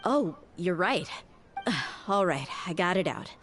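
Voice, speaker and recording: A woman's voice: lowish voice, animated, slow, fairly bright tone, a little hiss or hum. A woman playing a blunt, dryly exasperated young desert woman in a video game, matter-of-fact and sardonic.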